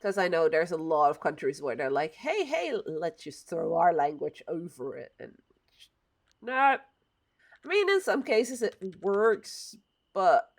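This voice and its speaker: A woman's voice: fairly low pitch, big pitch swings, measured pace, vocal fry. Chatty woman streaming a game. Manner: chatty and opinionated, muttering to herself as she builds. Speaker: livestreamer. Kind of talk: casual chat while playing a building game.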